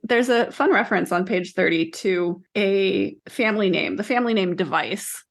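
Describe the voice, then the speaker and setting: A woman's voice: medium pitch, lively intonation, normal speed. A woman in her thirties co-hosting a podcast, talking casually about books in a conversational way. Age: 30s. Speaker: podcast co-host. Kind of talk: casual book discussion chat.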